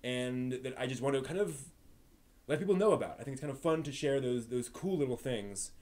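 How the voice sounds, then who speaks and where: A man hosting a live stream, telling things in a casual, animated, emphatic storytelling way. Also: medium pitch, fairly quick. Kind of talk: casual enthusiastic monologue to stream viewers.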